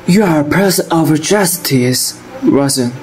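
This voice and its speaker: A woman playing a bitter, ironic male detective turned killer in an amateur radio drama, with a deliberate, theatrical delivery. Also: sits low, lively intonation, darkish tone, soft background music. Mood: mocking, defiant.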